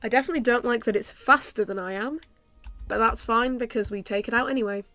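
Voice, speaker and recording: A young woman with a stuffy, congested voice chats through a video game, her pitch sitting a touch high. She thinks aloud, hesitating with ums and small laughs. Soft game music plays faintly under her. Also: fast talker, no echo. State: stuffy from a cold. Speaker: gaming YouTuber. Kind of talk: casual game commentary.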